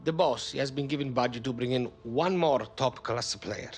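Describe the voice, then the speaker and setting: An actor playing an anxious, courteous football club official, formal and emphatic. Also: male voice, mid-range voice, normal speed, a little hiss or hum, close to an everyday voice. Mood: confiding. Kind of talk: video game cutscene acting.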